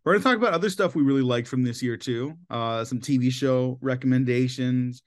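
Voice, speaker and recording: A man hosting a podcast in casual chat, his voice a little raspy, rambling with lots of filler words. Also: medium pitch, brisk. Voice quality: slightly raspy voice. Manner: chatty, loose, with many filler "like"s. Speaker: podcast host.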